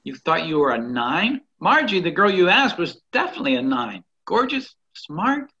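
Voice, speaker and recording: A man's voice: high-pitched, sing-song, normal speed, gravelly, sharp tone, heavily acted. An actor playing a fussy buddy who nitpicks and stammers over his corrections, heard through muffled call audio.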